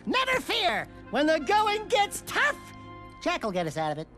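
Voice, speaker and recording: A woman's voice: very high voice, wildly swooping pitch, normal speed, over music, heavily acted. A woman voicing a small, cocky, cowardly animal sidekick in a video game, brash and whiny with wisecracks. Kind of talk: cartoonish video game acting.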